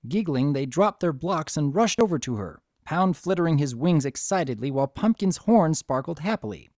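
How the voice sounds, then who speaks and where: Man narrating a story, highly animated delivery. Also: moderate pace, no room sound, clean studio sound. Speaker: narrator of a fan fiction story. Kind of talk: dramatic scripted storytelling.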